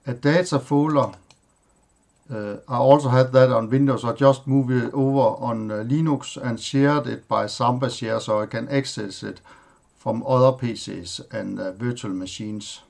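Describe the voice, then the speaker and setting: A man whose first language is Danish, hosting a tech tutorial and walking through his computer setup in a plain, halting way, listing items with pauses and fillers. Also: medium pitch, creaky, a little mellow. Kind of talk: screen-recorded software walkthrough.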